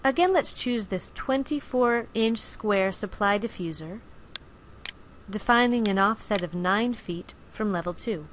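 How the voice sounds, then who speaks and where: A woman giving clear, even, scripted narration for a software screencast tutorial, recorded as a studio voice-over. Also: medium pitch, unhurried, a little hiss or hum. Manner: clear, even instructional delivery.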